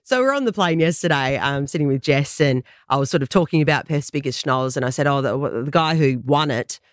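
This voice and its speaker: An Australian woman in her thirties co-hosts breakfast radio, telling stories with a lively, animated lilt and quick laughs. The studio sound is dry and close. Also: sits low, dry recording. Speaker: radio co-host. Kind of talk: casual breakfast radio chat. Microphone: studio mic.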